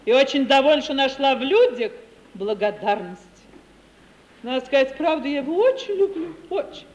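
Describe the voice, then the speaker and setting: A woman's voice: high voice, animated, moderate pace, darkish tone, muffled recording. An actress playing a self-satisfied, sentimental older landowning lady in 1950s Russian radio theatre, gushing and repeating her words for emphasis. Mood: proud, effusive. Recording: old hiss, long hall reverb.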